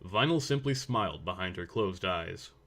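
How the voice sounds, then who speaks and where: A man's voice: low voice, lively intonation, normal speed, bright, forceful tone. A man reading scripted story narration as the narrator.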